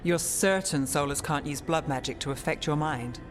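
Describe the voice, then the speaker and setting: A woman's voice: low voice, moderate pace, faint music behind, lightly acted. An actress playing a pragmatic, wry female mage investigator in a fantasy video game, with a dry, guarded delivery. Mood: skeptical. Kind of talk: cinematic video game dialogue.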